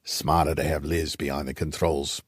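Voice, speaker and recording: A man voicing an aging, gruff Rhodesian patriarch, a wry and nostalgic old scout, in an audiobook. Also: rumbling low, level tone, normal speed, dry recording, pitched below the performer's own voice. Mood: wry reminiscing. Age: older.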